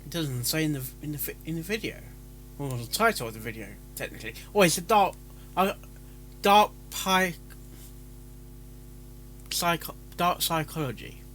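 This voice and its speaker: A man's voice: a little high, fairly bright tone. A man chatting casually as a live-stream reactor, stumbling over his words and interrupting himself. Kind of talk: casual live-stream chat with rehearsed intros.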